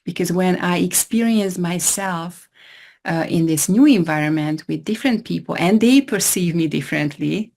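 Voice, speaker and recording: A woman's voice: fairly low pitch, normal speed, creaky, darkish tone. A woman from Hungary with a Hungarian accent, speaking English as her second language as a guest therapist in an interview, talking hesitantly with many restarts. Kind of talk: interview conversation.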